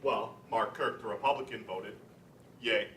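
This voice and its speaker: A man's voice: on the low side, unhurried, fairly bright tone. Young man reading aloud, echoey and distant. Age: young adult. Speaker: student presenter and narrator at a readers theater event. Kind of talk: scripted narration read aloud. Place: room at a college library event. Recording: echoey, distant mic with faint noise.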